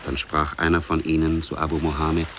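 A man narrating a fairy tale for 1950s German radio in a measured, solemn storytelling manner. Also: rumbling low, monotone, a bit bright, a little hiss or hum.

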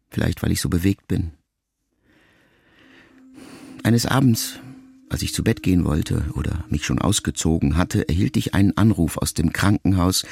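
An actor playing a grieving grown son, a writer, in an intimate, measured literary radio monologue addressed to his mother. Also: low voice, vocal fry, darkish tone, breathy edge, heavily acted. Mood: reflective, tender. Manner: measured, reflective, intimate, with deliberate pauses.

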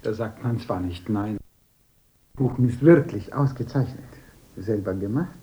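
A glib young guest, husky. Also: male voice, lowish voice, a little slow, dark tone, poor audio. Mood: chatty. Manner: talkative, ingratiating. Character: glib chatty young man, boastful guest. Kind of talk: radio play acting.

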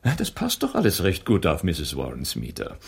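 An actor playing an eccentric detective who reasons aloud in a German radio drama, with a husky, gravelly voice and a musing, declamatory manner. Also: male voice, sits low, wildly swooping pitch, heavily acted. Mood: intrigued, deliberate. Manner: theatrical, musing, declaims read-out notices slowly.

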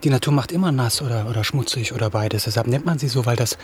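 A man's voice: medium pitch, quick pace, slight background noise, close to an everyday voice. A man acting a distraught, self-pitying young writer in a German radio drama, rambling and repeating words as he stammers. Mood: dazed, rambling. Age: young adult.

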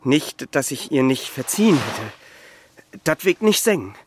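Humble, quiet young shipwright. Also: male voice, medium pitch, big pitch swings, slow, background noise. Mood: subdued, sad. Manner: humble, restrained. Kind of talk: radio drama acting.

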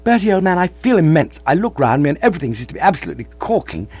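A man voicing an excitable young man who gushes breathlessly, in a storybook reading. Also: on the high side, very fast, husky, pitched above the performer's own voice. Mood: giddy. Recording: dull lo-fi with mains hum.

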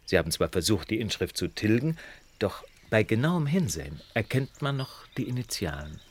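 An actor playing a polite young detective in a radio drama, precise, measured and analytical. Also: male voice, on the low side, very animated, slight background noise. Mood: polite, curious. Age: young adult. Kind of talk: German radio drama acting.

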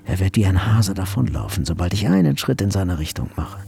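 Low, creaky, brooding murderer. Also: male voice, lively intonation, brisk, dark tone. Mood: calculating, uneasy. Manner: brooding, muttering, at times whispering. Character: secretive, obsessive male murderer brooding in inner monologue. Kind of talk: radio drama inner monologue.

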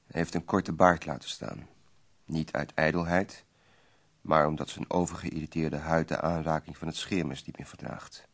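Very low, husky, sombre visitor. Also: male voice. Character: earnest, polite male visitor, sombre and sympathetic. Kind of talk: radio play acting with narration.

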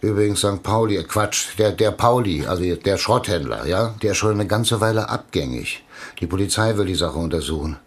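Husky, blustery boss. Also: male voice, deep voice, expressive, brisk, hardly any pauses, heavily acted. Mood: insistent. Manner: fussy, insistent, repeats himself. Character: blustery, fanciful male boss, middle-aged, insistent. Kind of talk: German radio play dialogue.

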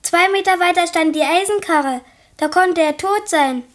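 A very high, childlike voice of a little girl in a radio drama. She is chatty and eager, a matter-of-fact tattling younger sister. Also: normal speed. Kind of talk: radio play acting.

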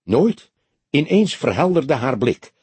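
Man reading a detective audiobook, husky voice, lively intonation, no room echo. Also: on the low side, moderate pace. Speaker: audiobook narrator. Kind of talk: scripted narration of a detective novel.

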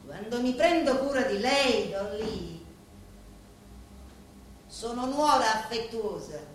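An actress playing a proud, determined young Sicilian woman in a radio play, with a Sicilian accent and deliberate, dramatic delivery full of weighty pauses. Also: a little high, fairly bright tone. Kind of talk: theatrical monologue in a radio play. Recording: very echoey room, faint background noise.

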